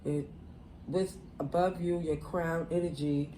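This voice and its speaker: A woman's voice: deep voice, steady tone, takes it slowly, dark tone, a little hiss or hum. A woman with a cold, her voice stuffy and nasal, giving a loose, rambling tarot reading full of "you know" and "like". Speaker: tarot reader. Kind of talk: casual tarot reading to camera.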